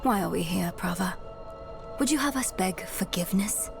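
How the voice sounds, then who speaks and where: A woman playing a weary, resolute young scholar in a dark fantasy video game, speaking in a measured, earnest and sometimes hushed way. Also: mid-range voice, expressive, vocal fry. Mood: guarded. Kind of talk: dramatic video game acting. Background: cinematic background music.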